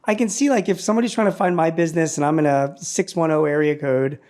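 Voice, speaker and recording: A man hosting a business podcast, asking questions in a halting way with many restarts. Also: a little high, fairly even intonation, darkish tone. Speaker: podcast host. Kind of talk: interview questions.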